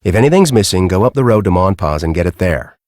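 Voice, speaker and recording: Deep-voiced grumpy American professor, swooping intonation. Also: male voice, lively intonation, quick pace, darkish tone, no room sound, clean studio sound. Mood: bossy, curt. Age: middle-aged. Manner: brusque, sarcastic, exasperated. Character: grumpy middle-aged male storm-chasing professor, bossy and cynical. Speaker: video game character, research team leader. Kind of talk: video game voice acting.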